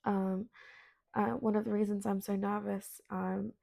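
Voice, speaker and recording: Soft-spoken woman hosting a spiritual podcast, gravelly. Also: mid-range voice, flat delivery, unhurried, no room sound, studio quality. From Colombian, born in London. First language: Spanish. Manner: soft, hesitant, many ums, earnest. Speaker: podcast host. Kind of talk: solo spiritual podcast monologue. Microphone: self-filmed at home.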